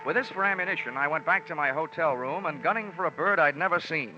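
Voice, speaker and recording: An actor narrating as a wry insurance investigator in a 1940s radio drama, with clipped first-person narration. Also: male voice, on the high side, quick pace, pushed and bright, soft background music. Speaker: narrator and lead detective. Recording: lo-fi old radio recording.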